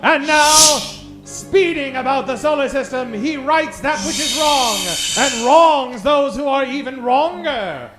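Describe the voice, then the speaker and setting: Grandiose high-pitched man announcing old-time radio. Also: measured pace, over music. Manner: grandiose, mock-dramatic, declamatory. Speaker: host and announcer of a live radio-theatre show.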